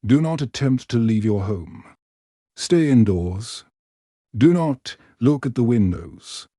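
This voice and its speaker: A man voicing a distorted robotic emergency broadcast voice in a horror story, reading out flat, commanding announcements. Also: mid-range voice, takes it slowly, no echo, studio quality. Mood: ominous.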